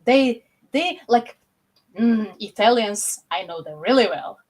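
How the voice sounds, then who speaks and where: A woman's voice: a little high, lively intonation, slow, darkish tone, poor audio. A young woman with a Croatian accent co-hosting a casual football chat on a video call. She talks animatedly and fills her sentences with "you know". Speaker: podcast co-host.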